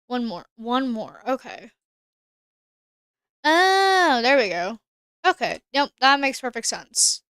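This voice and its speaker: A woman's voice: a little high, big pitch swings, takes it slowly, pauses often, a bit bright, clean tone, dry recording, studio quality. A woman streamer chatting casually while she plays a game, thinking aloud and answering her chat. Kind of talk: casual live-stream gameplay commentary.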